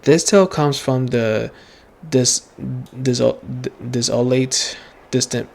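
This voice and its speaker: A man's voice: mid-range voice, fairly even intonation, slow, creaky, darkish tone. A man in his late teens doing casual, rambling game playthrough commentary, often repeating himself and hesitating. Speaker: gaming streamer.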